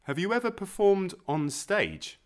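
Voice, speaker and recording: Male teacher voice, scripted lesson questions, very animated pitch. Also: measured pace.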